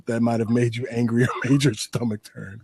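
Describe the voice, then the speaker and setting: A man's voice: medium pitch, level tone, brisk, vocal fry, a little mellow, breathy edge, dry recording. A man co-hosting an interview who asks questions casually and chats about his own similar experiences. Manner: chatty, tells his own anecdotes. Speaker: show co-host.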